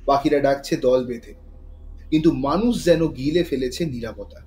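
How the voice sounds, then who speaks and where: A man delivers scripted, dramatic narration for a thriller audio story. His pitch is medium. Faint atmospheric noise sits behind the voice. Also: moderate pace, dark tone.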